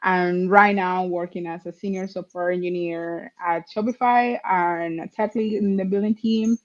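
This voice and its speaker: A woman's voice: fairly low pitch, fairly even intonation. Woman on a dull call line. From Colombia. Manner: earnest, conversational, some halting restarts. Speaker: guest software engineer. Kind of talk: interview answers.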